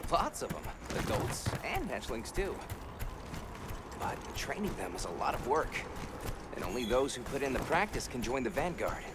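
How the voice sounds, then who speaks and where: A man's voice: medium pitch, very animated, normal speed, heavy background noise, lightly acted. A man voicing a friendly, proud young village guide in a video game, upbeat and welcoming. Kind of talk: video game cutscene voice acting.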